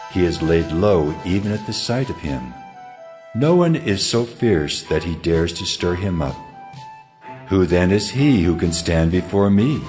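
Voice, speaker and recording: A man plays a majestic, stern God in a scripture drama. The voice is very deep and the delivery solemn and declamatory, built on challenging rhetoric. Music plays clearly behind the words.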